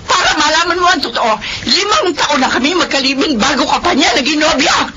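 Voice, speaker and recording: A woman's voice: sits high, lively intonation, a little fast. A woman playing a furious, confrontational betrayed wife in a Filipino radio drama. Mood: outraged, accusing. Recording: dull lo-fi audio with background noise.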